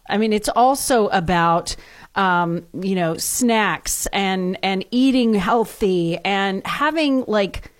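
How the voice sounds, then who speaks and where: A woman's voice: mid-range voice, no echo. A woman hosting a morning radio show, friendly and chatty as she interviews a guest, with the odd um and restart. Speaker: radio host. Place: radio studio.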